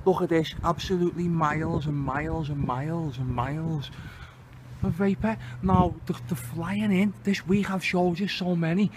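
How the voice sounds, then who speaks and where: Sweary Liverpool man in loud outdoor noise. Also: a little high, fairly even intonation, normal speed. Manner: sweary, indignant, exclamatory. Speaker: video channel host. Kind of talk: casual outdoor vlog commentary. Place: outdoors under open sky. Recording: very noisy outdoor amateur recording.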